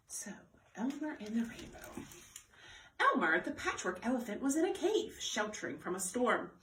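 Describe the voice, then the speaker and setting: Animated woman reading to children, roomy echo. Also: medium pitch, slight background noise. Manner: expressive, asks the listeners questions. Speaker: children's library storytime host. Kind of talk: animated read-aloud for young children. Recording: roomy echo, distant microphone.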